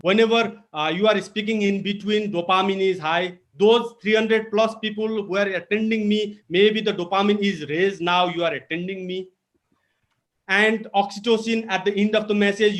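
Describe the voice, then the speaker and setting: A man with a Nepali accent leads a public speaking webinar over a video call. His voice sits notably high for a man. He presses his points home emphatically and repeats them. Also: fairly bright tone. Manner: emphatic, repetitive teaching delivery with code-switching. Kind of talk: webinar lecture.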